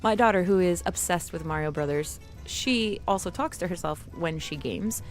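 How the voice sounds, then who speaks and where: A woman's voice: lowish voice, normal speed. Woman putting on a playful New mafia don lady. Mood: amused, animated. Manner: animated, playful, rambling. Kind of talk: role-play live stream chat. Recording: music playing behind the voice. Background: music.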